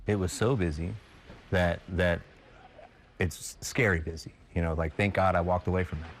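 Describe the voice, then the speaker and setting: A man's voice: low voice, fairly even intonation, moderate pace, slight background noise. A middle-aged man speaking casually and conversationally as an interviewee. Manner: conversational, colloquial. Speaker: interviewee, retired air traffic controller.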